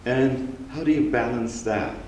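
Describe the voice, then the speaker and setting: A man in his sixties, raised in Austria, giving a measured, reflective public lecture as a rabbi from a stage. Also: mid-range voice, darkish tone. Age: 60s. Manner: measured, reflective, unhurried storytelling. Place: synagogue hall, seated on stage before an audience. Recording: roomy hall recording with faint hiss.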